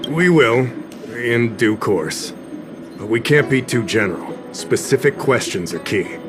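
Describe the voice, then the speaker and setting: A man voicing an easygoing commission official in a video game, casual and wry but measured. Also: medium pitch, animated, lightly acted. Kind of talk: English video game voice acting. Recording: studio voice with music behind.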